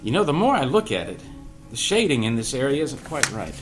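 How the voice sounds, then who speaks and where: A man playing a gentle, older painter and mentor in an audio drama read-through, unhurried, wry and caring. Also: mid-range voice, big pitch swings, natural voice. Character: gentle old painter and mentor, cafe owner. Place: cast read-through in a room. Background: music underscore.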